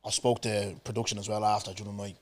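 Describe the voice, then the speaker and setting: A man's voice: lowish voice, flat delivery, fast talker. A man hosting a casual podcast chat, affirming his guest in slangy, rambling talk. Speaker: podcast host.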